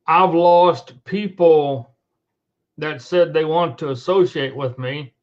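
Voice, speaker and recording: A man's voice: on the high side, takes it slowly, muffled recording. A middle-aged man hosting an online show, delivering an unscripted rant in a blunt, profane, emphatic and repetitive way. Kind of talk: unscripted monologue rant to camera. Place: indoors at a computer.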